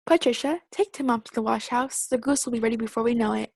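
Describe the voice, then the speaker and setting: A bustling, affectionate Victorian mother played by a woman in a radio play. Her voice is on the high side and recorded dry. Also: quick pace, gravelly, a little mellow, breathy edge, no echo.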